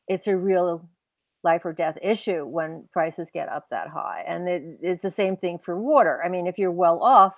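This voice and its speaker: An older woman speaks casually as an interviewed author. Her pitch sits on the low side, and she explains her thoughts in a reflective way. The sound is close and dry, from earbuds on a video call. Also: no room sound. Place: indoors at home on a video call. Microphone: wired earbuds on a video call.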